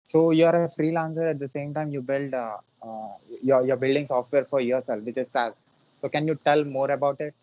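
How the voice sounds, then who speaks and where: A man from India hosting an interview over a video call, asking questions in a halting way full of fillers. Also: medium pitch, darkish tone, dry recording. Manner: hesitant, halting, lots of uh fillers. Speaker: podcast host. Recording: dull lo-fi call audio.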